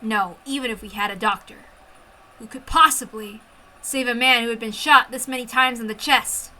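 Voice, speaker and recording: A woman reading a first-person story aloud with an expressive, intense dramatic delivery. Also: a little high, moderate pace, rough recording. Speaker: amateur story reader.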